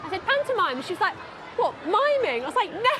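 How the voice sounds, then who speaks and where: A British woman presenting in an animated, playful, chatty vlog style. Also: very high voice, quick pace, a crowd in the background.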